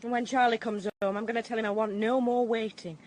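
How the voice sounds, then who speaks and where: An actress playing a brash, impatient young fiancée in a radio drama. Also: female voice, a little high, steady tone, fast, darkish tone, lightly acted. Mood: insistent. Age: young adult. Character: brash, impatient young woman engaged to be married.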